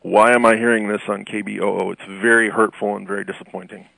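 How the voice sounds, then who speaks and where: A man's voice: lowish voice, level tone, fast, vocal fry, sharp tone, no room sound, low-quality recording. An American man calling in to a radio show, making his argument in an earnest, challenging and articulate way. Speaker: radio call-in listener. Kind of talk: argumentative call-in talk.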